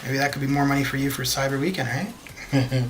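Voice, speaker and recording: Game streamer in their thirties from northern Canada, mid-pitched, chatty and meandering, quiet music underneath. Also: monotone, fast. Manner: rambling, hesitant, many fillers, chuckles often. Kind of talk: casual live-stream chat with viewers. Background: faint background music.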